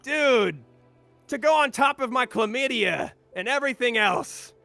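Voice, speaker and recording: A man's voice: very high voice, expressive, unhurried, gravelly, pushed and bright, soft background music, full character voice. A man playing a crude, exasperated cyborg swordsman in an improvised, slangy comedy game fan dub.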